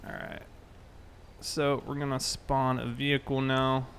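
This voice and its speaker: A man's voice: medium pitch, flat delivery, a little slow, vocal fry, fairly bright tone, slight background noise. A man hosting a casual game livestream, thinking aloud and talking informally to his viewers. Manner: informal, thinking aloud while reading chat. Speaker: live streamer, host.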